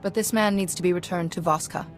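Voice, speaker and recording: A calm, dutiful female Jedi played by an actress in a space fantasy game. Her voice is on the low side, formal and composed, over faint music. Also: quick pace, lightly acted. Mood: firm. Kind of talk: video game voice acting.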